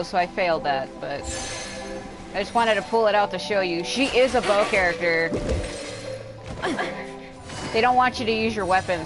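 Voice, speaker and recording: A woman livestreaming a video game with casual, rambling commentary, blunt and complaining, swearing freely. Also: medium pitch.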